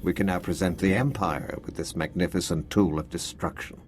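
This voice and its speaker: An actor playing a grandiose, gloating turncoat soldier in a video game. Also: male voice, deep voice, fairly even intonation, normal speed, a little mellow, slight background noise, natural voice. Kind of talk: video game cutscene acting.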